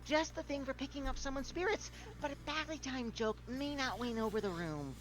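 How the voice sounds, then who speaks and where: A woman voicing a polished, helpful machine assistant in a video game, speaking in a measured, precise, salesy way. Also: high-pitched, close to an everyday voice. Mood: self-assured. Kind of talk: scripted game dialogue read aloud.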